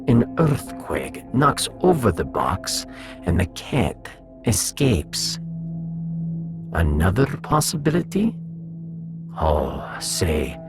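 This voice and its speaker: A man voicing a pompous genius cat in a science fiction audiodrama, deliberate and superior, savoring every pause. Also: low voice, gravelly, exaggerated voice. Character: suave, superior male genius cat, menacingly clever.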